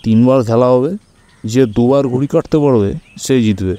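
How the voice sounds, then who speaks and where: A man's voice: medium pitch, normal speed, dark tone, dry recording, natural voice. A man voicing a brash middle-aged scrap dealer and gambler in a radio story, cocky and challenging. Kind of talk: radio audio-story acting.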